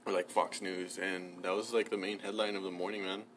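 Rambling young guy, lowish voice. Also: flat delivery, a little fast, fairly bright tone, slight background noise. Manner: rambling, heavy use of "like", casual swearing. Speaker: podcast co-host. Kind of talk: casual chat.

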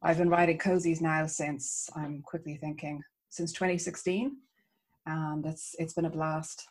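A woman from Ireland with an Irish accent, an author chatting warmly about her writing as an interview guest. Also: low voice, level tone, unhurried, husky. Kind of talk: casual interview chat.

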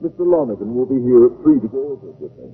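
A man's voice: medium pitch, expressive, rapid-fire, faint music behind, natural voice. An actor playing a blustering, anxious male school principal, pompous and flustered, in an old radio comedy. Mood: flustered, indignant. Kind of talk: 1940s-50s radio comedy acting. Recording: noisy old radio recording, narrow band.

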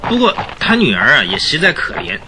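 A man acting a gossipy, sympathetic village coachman in a radio drama, chatty and folksy with drawn-out hesitations. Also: mid-range voice, wildly swooping pitch. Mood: gossiping, sympathetic. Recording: noisy track with clicking sounds.

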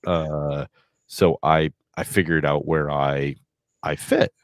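A man's voice: very deep voice, little pitch movement, slow pace, no room sound, studio quality. A man in his forties in casual podcast chat, rambling with lots of fillers and restarts. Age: 40s.

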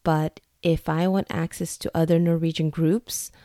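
A woman's voice: low voice, flat delivery, normal speed, dark tone, dry recording, clean studio sound. A young woman from Norway telling a personal story in a candid, chatty, reflective way. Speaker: vlogger.

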